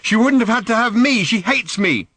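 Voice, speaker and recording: A man reading an audiobook, voicing an angry, hurt teenage girl who shouts at her father. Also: sing-song, moderate pace, no echo, close to an everyday voice. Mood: furious. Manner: shouting, shrill, furious outbursts.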